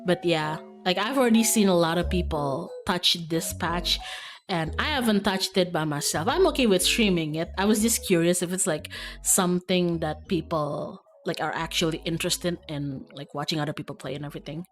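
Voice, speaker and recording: A woman's voice: fairly low pitch, normal speed. A woman streamer chatting casually with her viewers in a playful, giggly, rambling manner. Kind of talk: casual livestream chat with viewers. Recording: music behind the voice. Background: background music.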